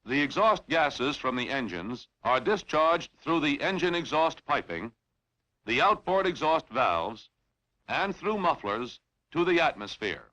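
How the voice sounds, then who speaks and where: A man reading scripted instructional narration in a formal, measured, clearly enunciated way, as in a training film. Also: fairly high pitch, big pitch swings, sharp tone, poor audio.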